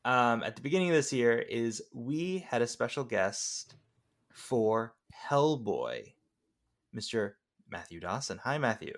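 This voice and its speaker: Man, podcast host, medium pitch, casual film chat. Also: big pitch swings, measured pace.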